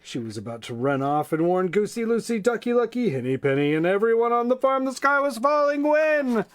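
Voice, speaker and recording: A man reading children's stories aloud in a playful, folksy, expressive way, with casual asides. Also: high voice, brisk. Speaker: storytime reader.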